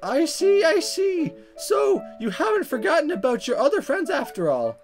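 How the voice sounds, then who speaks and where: Someone putting on a high, sweetly teasing anime mom. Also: female voice, big pitch swings, moderate pace. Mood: gently teasing. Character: protagonist's teasing, affectionate mother in an anime visual novel. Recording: music behind the voice.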